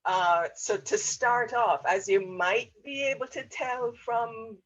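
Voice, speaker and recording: This is a woman with a Jamaican accent and a husky edge to her voice. She speaks as a university professor explaining options to students on an online panel. Her phrasing comes in hesitant pieces, and the audio is somewhat dull and muffled. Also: on the high side, slow pace, a bit bright. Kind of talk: explanatory advice to students in a webinar.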